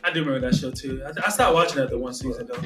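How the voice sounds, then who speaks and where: A man's voice: mid-range voice, rapid-fire, a bit bright, faint music behind. An American man hosting a casual podcast, talking informally to friends and listeners. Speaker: podcast host.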